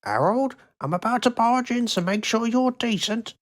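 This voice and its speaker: A woman playing an intrusive, scolding elderly mother in a comic game, loud, blunt and nagging. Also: fairly high pitch, lively intonation, moderate pace, lightly acted. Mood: bossy, scolding. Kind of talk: broad comic game acting.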